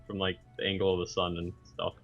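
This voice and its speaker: A man's voice: low voice, completely flat delivery, fast, vocal fry, a bit bright, soft background music. Male co-commentator, casual chatter, faintly muffled sound. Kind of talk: casual chat.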